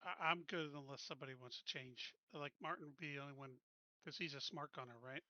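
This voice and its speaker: A man's voice: a little high, fast, pushed and bright, no echo, muffled recording. A man chatting casually as a player in a tabletop game.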